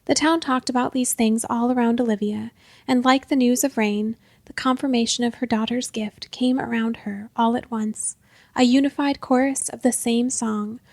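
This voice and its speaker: Woman narrator, higher-pitched, measured scripted storytelling, hushed phrase endings, close dry recording. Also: no echo.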